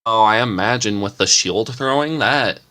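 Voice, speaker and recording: Man, lowish voice, relaxed panel talk, quick to agree. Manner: easygoing, quick to agree, chatty. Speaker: podcast panelist, ASMR script writer. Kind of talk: casual chat.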